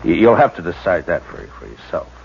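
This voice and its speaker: A terse, deep-voiced frontier marshal. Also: male voice, very animated, brisk, creaky, heavily acted. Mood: steady. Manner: low-key, terse, dry. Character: steady, weary frontier marshal, grown man. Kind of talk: 1950s radio drama acting with first-person narration. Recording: dull 1950s radio broadcast, faint hiss.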